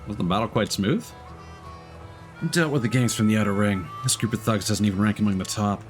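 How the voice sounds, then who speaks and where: A man's voice: fairly low pitch, a little fast. A male streamer casually commenting on a game and reading its text and dialogue aloud. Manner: relaxed, reads dialogue lines flatly and comments between them. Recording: game music behind the voice.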